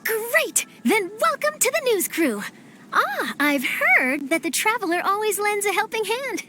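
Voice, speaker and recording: A peppy young reporter. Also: female voice, high-pitched, fairly bright tone, slight background noise. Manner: chipper, persuasive, quick. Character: young female reporter, eager and nosy. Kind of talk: video game cutscene dub.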